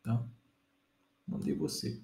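A man's voice: slow pace, mellow, reverberant. A man in his thirties with a Brazilian Portuguese accent, teaching a casual data engineering lesson step by step and often checking that his listeners follow.

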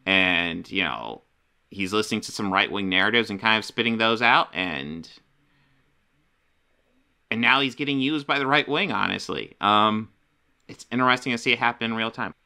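A man's voice: on the low side, animated, moderate pace, gravelly, bright, forceful tone, clean tone. A man co-hosting a casual livestream, talking in a wry, exasperated way from a home room. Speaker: podcast co-host.

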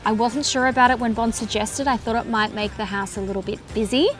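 A woman's voice: a little high, fairly even intonation, brisk, music in the background. A woman, a renovation designer, explaining design choices in an upbeat way. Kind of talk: casual chat and piece to camera.

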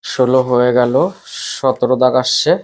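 A man's voice: medium pitch, completely flat delivery, moderate pace. Man guiding students informally. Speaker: teacher presenting an exam paper to students. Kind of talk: informal exam paper walkthrough for students.

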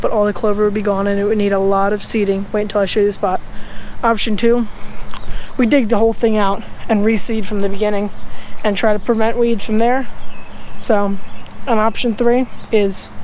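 A man's voice: high-pitched, a little hiss or hum. Young male lawn-care vlogger, rambling and chatty, recorded outdoors on an arm's-length action camera with faint open-air background. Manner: chatty, rambling, restarts and trails off. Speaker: lawn care channel host. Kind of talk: casual outdoor vlog narration. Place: outdoors in a grassy townhouse backyard.

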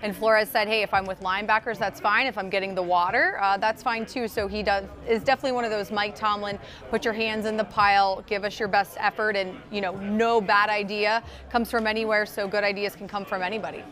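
A woman's voice: medium pitch, steady tone, brisk, few pauses, a bit bright. A woman in her forties hosting a casual sideline chat outdoors at a practice field, upbeat and steering the talk with questions. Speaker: host.

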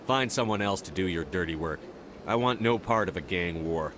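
A man's voice: deep voice, lively intonation, normal speed. A man playing a terse military commander in a video game, blunt and skeptical in the way he talks. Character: terse, no-nonsense male military commander hero. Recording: faint ambient noise behind.

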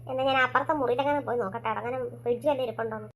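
A woman voicing a calm, patient mother who reassures her children, in a homemade toy play story. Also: a little high, rapid-fire, voice pushed down, natural voice. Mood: reassuring.

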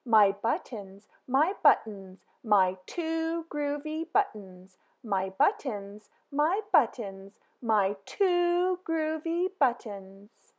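A woman reading a children's picture book aloud in a slow, sing-song way, with big excited exclamations. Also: on the high side, lo-fi sound. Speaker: storybook reader.

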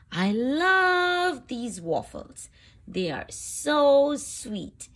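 A woman reading a picture book aloud for young children, with deliberate phrasing and expressive emphasis. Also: a little high, vocal fry.

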